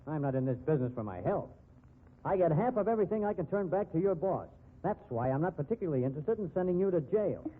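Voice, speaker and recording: Sneering crooked investigator, tinny old radio. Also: male voice, fairly high pitch, expressive, fast. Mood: bullying. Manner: hectoring, sneering, slangy tough-guy talk. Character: crooked bullying male private investigator, sneering blackmailer. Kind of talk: 1950s radio drama acting. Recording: tinny band-limited 1950s radio recording.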